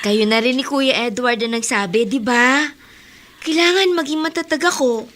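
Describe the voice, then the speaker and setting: A woman's voice: high voice, moderate pace, a bit bright. An actress playing a hopeful young woman learning to walk again in a radio drama, speaking eagerly and politely. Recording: noisy recording.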